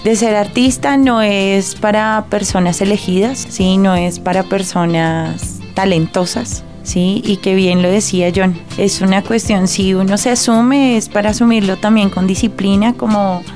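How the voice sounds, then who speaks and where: Casting: emphatic female arts representative, medium pitch, interview talk, soft guitar underneath. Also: fairly even intonation, measured pace, hardly any pauses. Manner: emphatic, ends points with checking tags.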